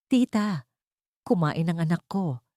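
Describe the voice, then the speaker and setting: A weary, well-off father, voiced by a woman narrator in an audio drama. He speaks calmly and mildly in a low, mellow voice, recorded dry and close. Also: slow pace, no echo. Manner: mild, tired. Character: calm, weary wealthy father defending his young son.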